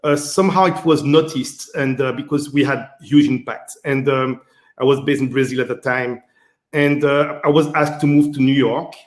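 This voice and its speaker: A man's voice: mid-range voice, steady tone, normal speed. A man from Martinique with a French accent, answering interview questions as a guest executive, hesitant and full of fillers. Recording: roomy echo.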